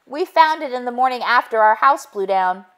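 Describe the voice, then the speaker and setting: A woman's voice: fairly high pitch, lively intonation, moderate pace, a bit bright, pitched above the performer's own voice. A woman voicing eager, childish storybook pigs with an insistent, squabbling manner.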